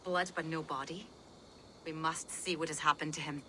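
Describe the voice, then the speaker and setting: A woman's voice: deep voice, fairly even intonation, moderate pace, sharp tone, slight background noise. A woman playing a composed, cautious village healer and mother in a video game, guarded and formal in her phrasing. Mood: composed, concerned.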